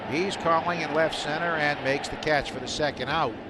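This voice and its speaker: Heavy outdoor ambient noise surrounds a man announcing baseball for radio. His voice sits somewhat high for a man as he gives live play-by-play commentary. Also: normal speed. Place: outdoor stadium broadcast. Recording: very noisy outdoor ballpark broadcast. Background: loud steady outdoor ambient noise.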